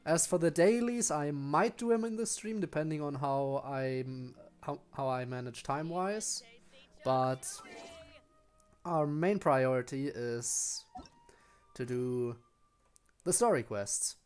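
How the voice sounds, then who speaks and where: A man's voice: a little high, slow pace, darkish tone, clear, resonant tone. A man giving casual livestream commentary as a game streamer, explaining things in a hesitant, rambling way. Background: faint music.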